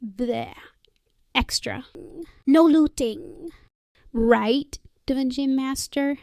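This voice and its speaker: A playful young female gamer in an audiobook drama, played by a woman. The voice is high and clear, casual and goofy, in a very slow delivery. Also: darkish tone, dry recording, studio quality, heavily acted. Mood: playful, hurried goodbye.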